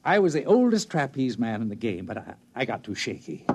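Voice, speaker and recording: An actor playing an older, boozy, grandiose ex-acrobat turned schemer in a theatrical 1950s radio drama style. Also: male voice, medium pitch, big pitch swings, brisk, a little mellow, husky. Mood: boozy, rueful. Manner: theatrical, expansive, swooping delivery.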